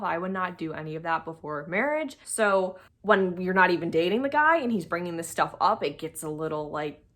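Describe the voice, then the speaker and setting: A woman's voice: mid-range voice, fairly bright tone. Young woman telling a casual story. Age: young adult. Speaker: storytime video creator.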